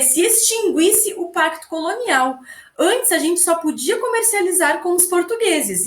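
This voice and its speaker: Chatty woman history teacher. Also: very high-pitched. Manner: chatty, explaining, checks in with students. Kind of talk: video lesson. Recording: echoey room.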